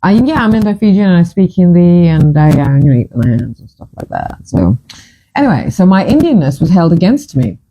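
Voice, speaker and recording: A woman's voice: on the low side, sing-song, brisk, muffled recording, slight background noise. A woman from Fiji in her fifties, hosting a casual chat and telling her stories in a chatty, dramatic, self-mocking way. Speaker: host.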